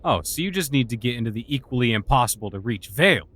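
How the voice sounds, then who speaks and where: Man acting a cynical male outcast, medium-pitched voice, mocking manner, fantasy audio drama. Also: animated, fast, fairly bright tone. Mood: mocking, sarcastic. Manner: sarcastic, mocking. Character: cynical, sarcastic human loner, male outcast mage.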